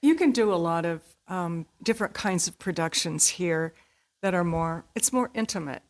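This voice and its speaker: A woman's voice: on the low side, animated, moderate pace. A woman, a community theatre actor, explaining things conversationally as an interview guest.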